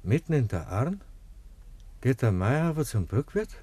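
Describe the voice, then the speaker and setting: Mid-pitched Bavarian garage man. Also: sing-song, moderate pace, dark tone, breathy edge, no room sound. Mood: businesslike, curious. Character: businesslike, chatty Bavarian garage man serving customers. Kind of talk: 1950s dialect radio drama acting.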